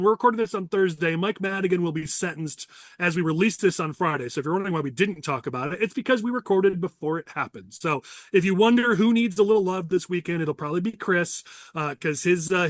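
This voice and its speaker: A nasal man in his thirties hosts a casual podcast, with a high voice and a quick pace. He teases and rambles through lots of fillers, recorded close and dry. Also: no room sound. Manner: teasing, fast and rambling. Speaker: podcast host. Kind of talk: casual political podcast chat.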